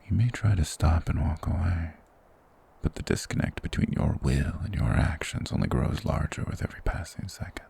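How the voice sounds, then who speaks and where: A man voicing a sinister, soothing forest spirit in a fantasy ASMR roleplay, speaking in a hushed, formal, hypnotic and coaxing manner. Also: very deep voice, slight background noise, full character voice. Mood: ominous, hypnotic. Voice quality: gravelly, breathy whisper.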